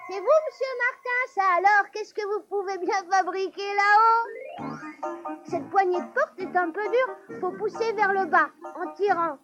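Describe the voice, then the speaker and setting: A mischievous young cartoon boy who chatters eagerly in a very high voice. The sound is a bit muffled, like an old tape. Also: measured pace, over music. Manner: eager, breathless boyish chatter.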